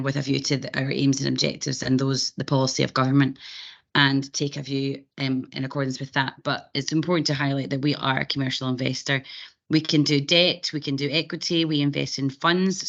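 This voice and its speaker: A woman with a croaky, raspy voice from a cold, giving a webinar presentation with many ums and restarts. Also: low-pitched, fairly bright tone. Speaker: bank presenter. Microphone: video call. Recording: dull lo-fi call audio.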